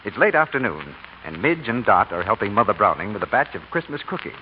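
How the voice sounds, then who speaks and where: A man's voice: lowish voice, sing-song, quick pace. A man reading a scripted 1940s radio commercial as the announcer. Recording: muffled old radio, faint noise.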